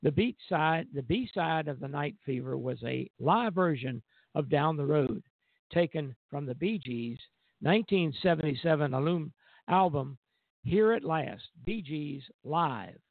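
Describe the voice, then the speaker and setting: A man's voice: on the high side, lively intonation, measured pace, vocal fry, dry recording. A man hosting a music radio show, reading out song history and often stumbling and correcting himself. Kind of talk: music history commentary, partly read from notes. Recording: tinny phone-like narrowband sound, dry.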